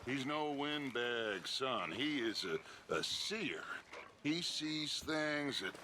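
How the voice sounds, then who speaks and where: A man's voice: mid-range voice, expressive, slow pace, noisy background. A middle-aged man playing a proud, philosophical American outlaw leader in a video game, speaking with grand emphasis. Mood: earnest, lofty.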